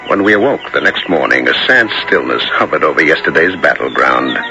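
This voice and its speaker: Animated adventurer narrator, music behind. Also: male voice, very deep voice, fairly quick, creaky, muffled recording, exaggerated voice. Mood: dramatic narration. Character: seasoned male hunter-adventurer recounting his own tale, earnest. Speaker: narrator and lead character. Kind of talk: dramatic first-person radio narration. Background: music under the voice.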